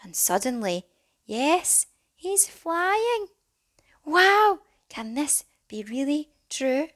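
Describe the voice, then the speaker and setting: A woman reading a rhyming children's storybook as a teacher would, dramatic and animated, with some hushed phrases. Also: high-pitched, very slow. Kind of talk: expressive children's storybook narration in rhyme.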